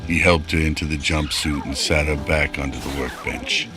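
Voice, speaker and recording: Very deep-voiced male narrator. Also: fairly even intonation, moderate pace, loud music behind the voice. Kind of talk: scripted radio drama narration.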